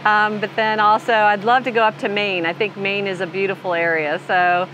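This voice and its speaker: A woman's voice: medium pitch, little pitch movement, moderate pace, a bit bright, highs cut off. A woman in her sixties shares her plans in a chatty, easygoing way, often chiming in with agreement. Her voice sits in a middle range, recorded outdoors beside a trailer. A faint outdoor hiss lies under her words. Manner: chatty, interjecting with short agreements. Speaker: trailer owner interviewee. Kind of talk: casual interview answers.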